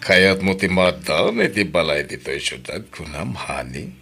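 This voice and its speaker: A man's voice: sits low, very animated, a little slow, gravelly, sharp tone, slightly rough, full character voice. A man playing husband, boss and father roles in a radio drama, earnest and intimate. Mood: fatherly. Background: background music.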